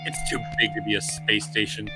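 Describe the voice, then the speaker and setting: A man playing a cocky, gruff smuggler space pilot at an amateur table read. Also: fairly low pitch, level tone, brisk, over music, close to an everyday voice. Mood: alert.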